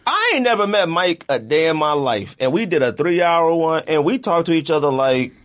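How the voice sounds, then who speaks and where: A man's voice: on the high side, animated, normal speed, a bit bright. A man hosting a podcast in a loose, colloquial, rambling way, full of conversational filler phrases. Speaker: podcast host. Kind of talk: casual podcast chat.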